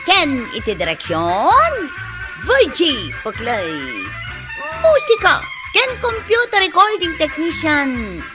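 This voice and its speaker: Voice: sits high, wildly swooping pitch, takes it slowly, full character voice. An announcer with a Filipino accent reading the opening credits of a radio drama, with music behind.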